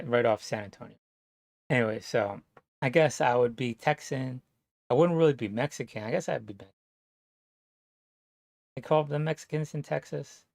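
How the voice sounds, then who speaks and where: A man from New Jersey talking to camera in a rambling, casual monologue, halting and full of fillers, swearing now and then. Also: mid-range voice, gravelly, dry recording. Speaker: vlogger.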